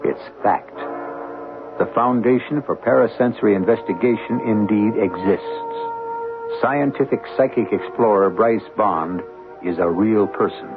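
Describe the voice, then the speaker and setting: A male host delivering dramatic narration on a 1970s mystery radio show. His voice sits a bit low and moves with a lively rise and fall. Music plays under the thin, narrow broadcast sound. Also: a little slow.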